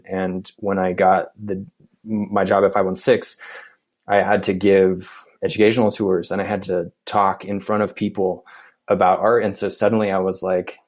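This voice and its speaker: A man answering interview questions in a casual, halting way, full of restarts and fillers. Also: lowish voice, darkish tone. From United States. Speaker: arts organization staff member.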